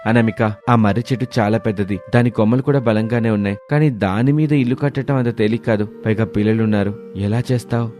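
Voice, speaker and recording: A man's voice: on the low side, fast talker, hardly any pauses, a little mellow, lightly acted. A man voicing a kindly, concerned family man in a cartoon moral story, earnest and caring. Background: background music.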